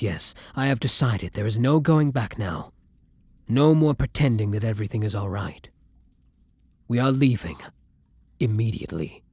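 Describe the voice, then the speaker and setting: Man acting a breathy, husky, tender vampire. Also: mid-range voice, no room sound. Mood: urgent, resolute. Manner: soft breathy murmur, formal old-fashioned phrasing. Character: kind, tormented male vampire, tender and protective lover. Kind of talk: roleplay audio drama, intimate ASMR.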